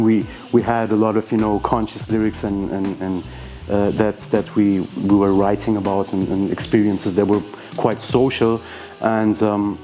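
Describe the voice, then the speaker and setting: A man's voice: on the low side, mellow. A man from Iran whose first language is Persian, a rapper answering interview questions in a hesitant way, with many fillers and restarts.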